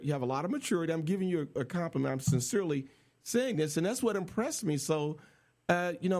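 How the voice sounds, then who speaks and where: Lively, jovial middle-aged radio host. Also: male voice, on the high side. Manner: jovial, teasing, laughs often. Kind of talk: casual interview chat.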